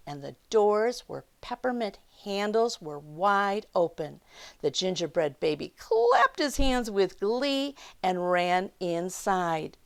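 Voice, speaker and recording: Slow-paced, expressive woman storyteller. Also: medium pitch. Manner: expressive, with hushed asides and dramatic emphasis. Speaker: children's storyteller. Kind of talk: picture-book read-aloud with asides to young listeners.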